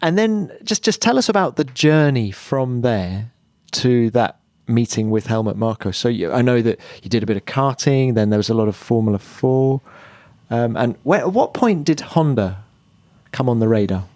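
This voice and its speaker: A man with a medium-pitched voice hosts a podcast interview. He sounds conversational and inquisitive, steering the talk with follow-up questions. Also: animated, normal speed, darkish tone.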